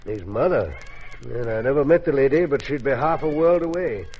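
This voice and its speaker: An actor with a British accent playing a gruff, practical colonial army colonel, clipped and matter-of-fact, in 1950s radio drama style. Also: male voice, medium pitch, animated, moderate pace, lightly acted. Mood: detached. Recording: dull 1950s radio recording.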